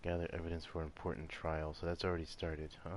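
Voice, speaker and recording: A man's voice: very deep voice, completely flat delivery, fast, a little hiss or hum. A man hosting a gaming channel, giving casual commentary over gameplay.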